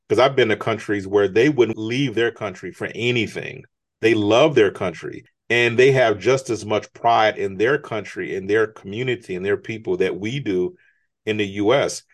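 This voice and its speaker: Male interview guest, low register, earnest motivational delivery. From United States. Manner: earnest, motivational, emphatic rises. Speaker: guest, business strategist. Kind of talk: conversational interview.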